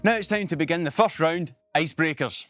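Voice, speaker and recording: A man's voice: fairly high pitch, a little fast, fairly bright tone. A man hosting a dating game show, presenting with a few stumbles and repeated words.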